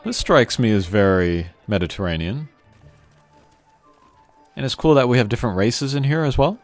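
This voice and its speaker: A man's voice: mid-range voice, lively intonation, normal speed, soft background music. A man giving casual, rambling, reflective commentary on a video game.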